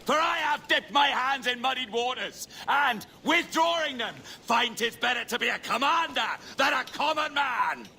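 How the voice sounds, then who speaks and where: A man's voice: extremely high pitch, lively intonation, normal speed, few pauses, a little hiss or hum, heavily acted. An actor playing a pirate captain giving an oratorical, theatrical speech to his crew. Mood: rousing. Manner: oratorical, theatrical, swelling to shouts. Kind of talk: video game cutscene acting. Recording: game audio with sea ambience.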